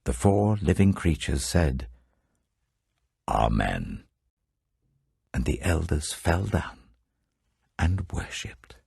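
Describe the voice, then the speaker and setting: Older guy reading the bible real slow, super deep husky voice, dry close studio sound. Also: sing-song, a little mellow, no room sound. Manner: measured, solemn delivery that swells for quoted proclamations. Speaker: Bible reader. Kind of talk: scripted audiobook reading of scripture.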